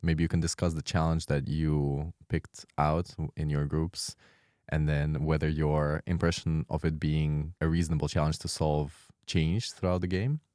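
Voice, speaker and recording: A man's voice: extremely low pitch, little pitch movement, moderate pace, warm tone, dry recording, studio quality. A man hosting and moderating a casual podcast round-table with questions for guests. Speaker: host, discussion moderator.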